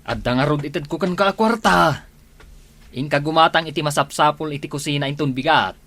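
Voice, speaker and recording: A man's voice: on the high side, fairly quick, sharp tone. A man playing a sly, wheedling old husband and petty thief in an Ilocano radio comedy drama. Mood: coaxing.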